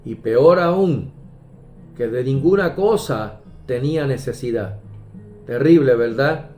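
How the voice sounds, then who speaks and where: A man preaching a sermon, measured and emphatic, pausing often and quoting scripture. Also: medium pitch, animated. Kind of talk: slow deliberate sermon. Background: soft guitar music.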